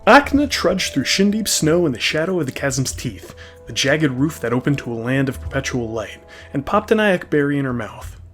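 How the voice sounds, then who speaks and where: A man's voice: mid-range voice, very animated, moderate pace. A man reading a scripted story expressively, like an audiobook narrator. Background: faint music.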